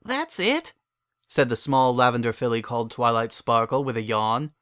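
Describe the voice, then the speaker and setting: A man giving a dramatic reading of a fairy tale as narrator, in a measured, formal storytelling manner. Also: medium pitch, little pitch movement, a bit bright, clear, resonant tone, no echo, studio quality.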